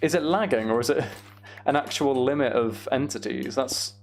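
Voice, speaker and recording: A man streams a game with a medium-pitched voice, talking casually to his viewers. He often mutters through what he is doing step by step. There is noticeable noise behind him, with keyboard typing and clicks. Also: moderate pace, gravelly. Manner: muttering step-by-step self-narration of tasks. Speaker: livestream host. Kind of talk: casual live gaming commentary, chatting with viewers. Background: computer keyboard typing and clicking.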